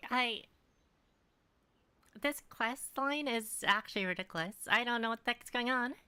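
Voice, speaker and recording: A woman's voice: a little high, moderate pace, bright, forceful tone, smooth, no echo. A woman giving casual gameplay commentary in an informal, blunt way. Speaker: streamer playing a game.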